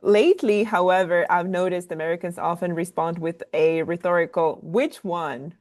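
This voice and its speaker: A woman hosts an online discussion, thanking speakers and reading out questions in a polite, upbeat way. Her voice sits a bit low for a woman. She speaks over a video call. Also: steady tone, normal speed, darkish tone. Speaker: moderator reading audience questions. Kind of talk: webinar moderation.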